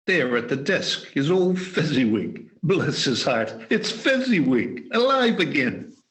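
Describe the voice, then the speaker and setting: A man voicing a remorseful old miser, halting and hesitant, in an amateur radio play read over a video call. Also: on the high side, big pitch swings, fairly bright tone, full character voice. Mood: wistful.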